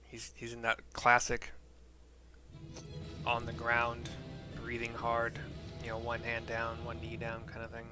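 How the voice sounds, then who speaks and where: A man streaming a game, describing the scenes on screen in an informal, halting, descriptive play-by-play. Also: mid-range voice, bright, forceful tone. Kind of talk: casual play-by-play describing cutscene visuals. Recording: clear game noise behind voice.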